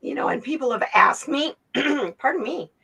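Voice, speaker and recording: A woman guest in a casual video interview, telling her story in an animated way and laughing often. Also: high-pitched, moderate pace, vocal fry.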